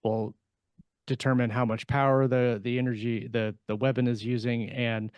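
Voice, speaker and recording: A man talking casually on a panel as an author, halting and repeating words as he thinks aloud. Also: mid-range voice, flat delivery, darkish tone, clear, resonant tone, no echo. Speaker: science fiction author on a panel podcast.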